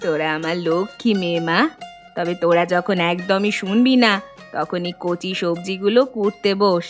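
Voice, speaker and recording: A woman voicing a kindly older village mother, gentle and doting, in an animated Bengali family story. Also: fairly low pitch, moderate pace, soft background music, close to an everyday voice.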